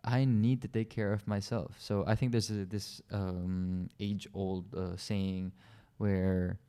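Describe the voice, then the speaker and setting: Laid-back low-voiced man, joking. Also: level tone, slow, mellow, clean tone. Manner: easygoing, joking, talks in loose run-on thoughts. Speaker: podcast co-host. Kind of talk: casual couple's podcast chat.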